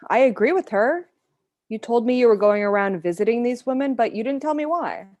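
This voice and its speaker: A woman's voice: a little high, lively intonation, brisk, a little mellow, natural voice. A woman playing a frank, skeptical friend who reproaches someone, in a table read of a screenplay. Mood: reproachful.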